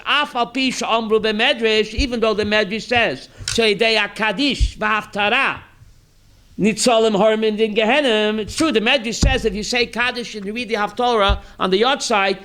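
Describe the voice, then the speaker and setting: High, bright-voiced rabbi quizzing his class. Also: clear, resonant tone. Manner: reads Hebrew text then translates, quizzing listeners; animated, emphatic rhetorical questions. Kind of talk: Torah class sermon.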